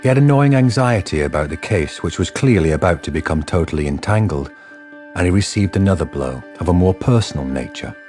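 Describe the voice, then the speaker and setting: Deep-voiced male radio drama narrator. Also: lively intonation, fairly quick, a little mellow. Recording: faint music underneath.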